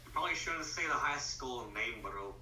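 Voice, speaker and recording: A young man in casual, halting chat as a guest on a friend's voice-call live stream. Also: medium pitch, animated, pushed and bright. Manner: rambling, halting, restarts phrases. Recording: echoey room, far from the mic.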